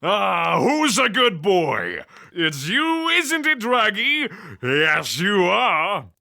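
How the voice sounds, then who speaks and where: High male voice, piercingly bright, pompous war god, theatrical, fantasy audio drama. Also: huge pitch swings, drawn-out words, gravelly, heavily acted. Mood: cooing. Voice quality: bright, forceful. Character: flamboyant, haughty god of war, doting on pets.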